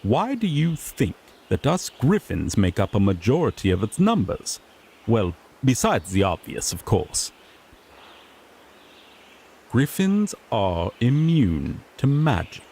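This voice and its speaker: Man playing a fussy griffon knight, wildly swooping pitch. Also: sing-song, takes it slowly, vocal fry, mellow, slight background noise, exaggerated voice. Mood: proud, explanatory. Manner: formal, fussy, explanatory, mildly exasperated. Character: sassy griffon knight, earnest rookie lawman making first arrest. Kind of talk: scripted fantasy roleplay audio drama.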